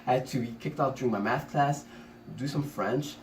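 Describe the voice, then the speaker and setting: A man's voice: medium pitch, moderate pace. A man from Congo talking in casual conversation as a co-host, rambling with many restarts and fillers, recorded from a distant mic. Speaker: podcast co-host. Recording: roomy, distant mic, faint noise.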